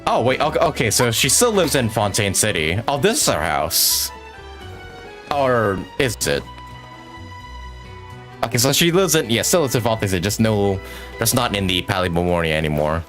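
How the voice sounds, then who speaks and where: Woman streamer with a really deep voice, chatty n halting, animated, faint game music. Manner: informal, halting, chuckling. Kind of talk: casual live gameplay commentary, also reading dialogue choices aloud.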